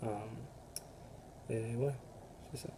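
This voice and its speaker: Halting teenage boy from Canada, dark flat voice. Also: medium pitch, a little hiss or hum. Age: teens. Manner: halting, filler-heavy. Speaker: student. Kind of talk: casual self-introduction.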